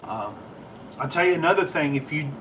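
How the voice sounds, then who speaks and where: American guy with kinda high voice rambling casually on a stream, bit far from the mic, steady noise behind. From United States. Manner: rambling, informal, halting. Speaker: livestream host, ham and CB radio hobbyist. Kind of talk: casual livestream chat answering viewer questions.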